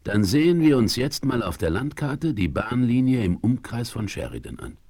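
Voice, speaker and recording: An actor playing a shrewd, calm frontiersman in charge, deliberate and commanding, in a German audio drama. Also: mid-range voice, husky. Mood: decisive. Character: shrewd, calm frontiersman leader, cautious and decisive.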